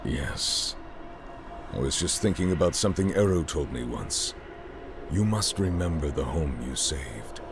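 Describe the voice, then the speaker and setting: Brooding male fantasy game hero, extremely low voice, terse and troubled, score behind. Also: lively intonation, measured pace, heavily acted. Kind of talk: dramatic video game acting. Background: cinematic background music.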